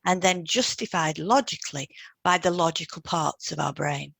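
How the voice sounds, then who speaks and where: A woman's voice: low-pitched, normal speed, creaky, fairly bright tone, no echo. A woman co-hosting an educational podcast, reading scripted material in an explanatory way. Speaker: podcast co-host and hypnotherapist.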